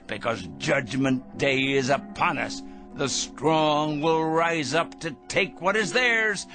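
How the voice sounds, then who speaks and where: Pompous, paranoid megalomaniac, highly animated. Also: male voice, heavily acted. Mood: paranoid ranting. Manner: pompous, theatrical, ranting. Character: pompous, paranoid megalomaniac man, feverishly excitable, talking to his parrot. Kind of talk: radio drama acting.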